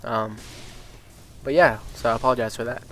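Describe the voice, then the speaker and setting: A man's voice: mid-range voice, normal speed. Casual gamer guy with a sniffly cold, rambling and swearing through his commentary, faint hiss behind. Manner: rambling, with many ums and uhs, and swears freely; gets excited and incredulous about game balance. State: stuffy, sniffly nose. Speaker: gaming YouTuber.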